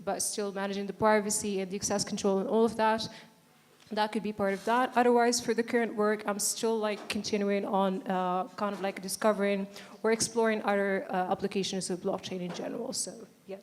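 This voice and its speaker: A woman's voice: mid-range voice, a little mellow, big-room sound. A woman researcher speaking on a conference panel in a casual way, with many fillers. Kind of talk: panel discussion.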